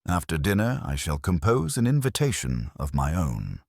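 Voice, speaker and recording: A man voicing an imperious, pedantic older detective in an audiobook, speaking in formal, measured, pompous sentences. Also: a bass voice, wildly swooping pitch, gravelly, dry recording, clean studio sound, full character voice. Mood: commanding.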